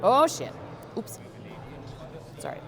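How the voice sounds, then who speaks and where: A young woman tying a fishing fly in a joking, deadpan demonstration, with many ums and uhs. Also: slow. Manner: deadpan, playful, many fillers. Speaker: guest fly tier.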